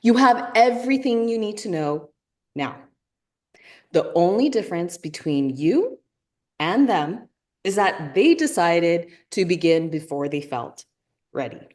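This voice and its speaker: A woman co-hosting a live webinar, giving a motivational talk and slowly repeating key lines for emphasis. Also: lowish voice, very animated, a little mellow. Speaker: webinar co-host.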